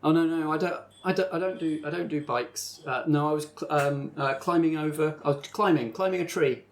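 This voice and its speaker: A man plays a teenage girl waiting tables in a tabletop game. She is nervous and evasive, stumbling over her words in a creaky, everyday voice. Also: on the high side, a little fast, close to an everyday voice. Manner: hesitant, stammering, evasive. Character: guarded, nervous sixteen-year-old girl waitress.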